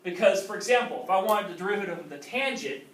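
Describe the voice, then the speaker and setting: High-voiced male teacher in a roomy classroom. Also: expressive, fast talker, background noise. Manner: checks in with frequent "okay?". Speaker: math teacher. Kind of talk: classroom lecture. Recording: roomy classroom audio from a distant camera.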